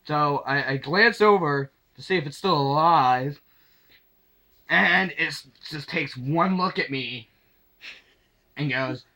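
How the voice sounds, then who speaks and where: A young man telling a casual anecdote to camera in a halting, sweary way, acting out the dialogue as he goes. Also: fairly high pitch, sharp tone. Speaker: storyteller.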